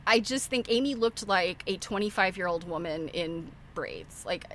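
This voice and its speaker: A woman's voice: lowish voice, lively intonation, normal speed, a bit bright, a little hiss or hum. A middle-aged woman hosting a livestream, chatting informally and giving opinionated, rambling film commentary. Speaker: livestream host. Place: live internet stream.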